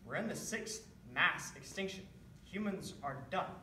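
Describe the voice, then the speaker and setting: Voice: medium pitch, animated, a little slow, pushed and bright. A performer playing a solemn, blunt male climate scientist who foretells doom in a stage readers theatre piece. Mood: grim. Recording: echoey room, distant mic, background hiss.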